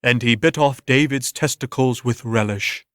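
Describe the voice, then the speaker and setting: A man's voice: medium pitch, moderate pace, dry recording, clean studio sound. A man narrating a story as a scripted dramatic reading.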